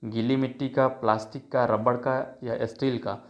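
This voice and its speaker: A man's voice: mid-range voice, level tone, normal speed. A man with a sore throat runs through multiple choice exam questions, reading each option aloud before settling on the answer. His voice sits in a middle range. The recording is muffled and lo-fi. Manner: reads options then gives the answer, many filler checks. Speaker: teacher. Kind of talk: quiz-style exam prep lesson.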